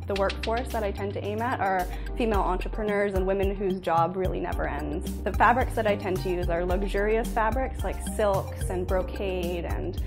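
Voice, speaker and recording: A young woman describes her gowns in a steady, explanatory way. Her voice sits mid-range. Background music plays under the studio interview. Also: medium pitch, moderate pace, hardly any pauses. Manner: earnest, explanatory. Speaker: fashion designer guest.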